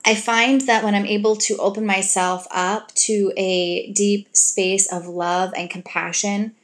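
A woman giving relationship advice to her audience in an earnest, gentle coaching way, now and then acting out sweet example lines to a partner. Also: medium pitch. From grew up in a Greek family.

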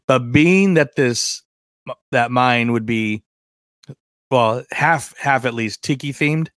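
A man chatting casually as a podcast co-host in a medium-pitched voice, recorded dry with very little room sound. He talks informally and jokes easily, often at his own expense. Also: slow, a bit bright, clear, resonant tone, dry recording. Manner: informal, joking, self-deprecating.

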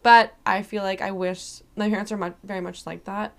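Soft-spoken young woman, chill n rambly, voice kinda worn, asks the questions. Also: mid-range voice, flat delivery, fairly quick, vocal fry. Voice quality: soft-spoken, voice often strained. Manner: laid-back, rambling, lots of "like". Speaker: podcast co-host asking questions. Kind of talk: casual chat.

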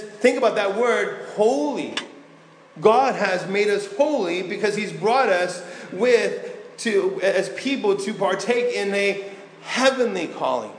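A man's voice: high voice, a little hiss or hum. A male pastor preaching a sermon in a conversational way, with asides and restarts. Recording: echoey hall, faint ambience.

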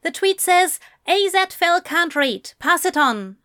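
A woman voicing an eager journalist with a loud, politely pressing manner, as in an audiobook story. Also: very high voice, animated, slow, no room sound, clean studio sound, pitched above the performer's own voice, heavily acted. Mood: hesitant. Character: eager, probing journalist at a literary event.

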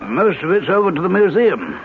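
High-pitched, folksy New England house agent. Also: male voice, big pitch swings, a little fast. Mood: laconic, folksy. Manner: folksy, clipped, drawling understatement. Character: laconic old-time New England house rental agent. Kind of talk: 1970s radio drama acting. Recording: noisy, muffled 1970s radio broadcast.

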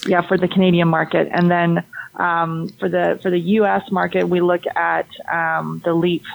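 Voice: mid-range voice, little pitch movement, moderate pace, vocal fry, low-quality recording. A fertility health practitioner explaining testing and diet in a conversational interview, often restarting and repeating words.

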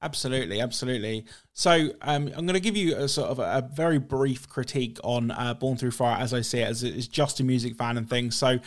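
A man's voice: medium pitch, fairly quick, few pauses. A man interviewing a musician in casual chat, enthusiastic, leaning on "you know" and "absolutely".

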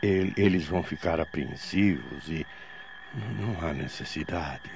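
An actor playing a kindly, ailing older father in a radio drama, speaking haltingly and gently. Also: extremely low pitch, creaky, noisy background, full character voice. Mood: weak, earnest. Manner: halting, stammering. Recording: dull lo-fi radio drama, music behind.

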